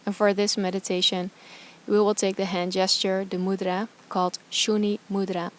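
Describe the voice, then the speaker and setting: Calm, even-toned woman guiding meditation. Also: mid-range voice. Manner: calm, soft, soothing, with slow pauses between phrases. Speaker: yoga teacher, meditation guide. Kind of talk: guided meditation instruction.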